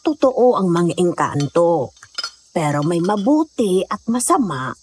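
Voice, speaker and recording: A woman playing an older, motherly mountain villager in a horror audio drama, hosting guests with unhurried, faintly eerie hospitality. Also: on the low side, darkish tone, a little hiss or hum. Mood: grave, knowing.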